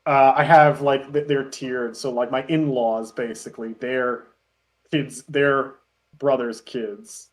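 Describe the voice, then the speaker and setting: A man chatting casually as a podcast guest, telling anecdotes in an animated, halting way and often chuckling. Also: mid-range voice. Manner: animated storytelling, frequent chuckling, halting self-corrections. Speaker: podcast guest, parody web series creator.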